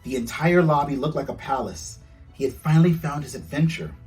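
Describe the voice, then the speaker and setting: A man reading his children's storybook aloud with expressive, storytelling delivery. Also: a little high, fairly quick, distant mic, faint music behind, low-quality recording. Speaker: author reading own book aloud.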